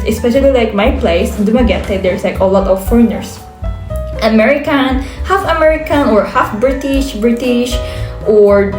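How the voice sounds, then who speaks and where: A teenage Korean girl with a light, fairly high voice and a Korean accent, rambling through a casual vlog. She talks in English, her second language, stopping and restarting her sentences and filling them with "like". Her voice rings a little in an echoey room, with soft music in the background. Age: teens. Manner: chatty, rambling with many restarts and "like"s. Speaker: vlogger. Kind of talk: casual talk to camera.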